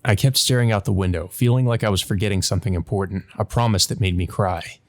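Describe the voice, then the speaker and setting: Guy with kinda low voice doing a visual novel lets play, reads the text and stumbles thru his own comments. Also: fairly even intonation, quick pace, a little mellow. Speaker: let's play host.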